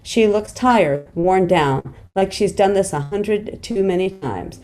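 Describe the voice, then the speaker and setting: A woman's voice: medium pitch, expressive, slow pace, darkish tone, a little hiss or hum. A woman narrating stage directions in a screenplay table read.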